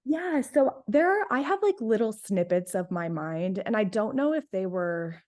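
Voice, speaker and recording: A woman's voice: mid-range voice, very animated, measured pace, darkish tone. A woman in her late twenties from North Carolina, a diabetes health coach, chatting casually as an interview guest, laughing often and filling with "like". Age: 20s.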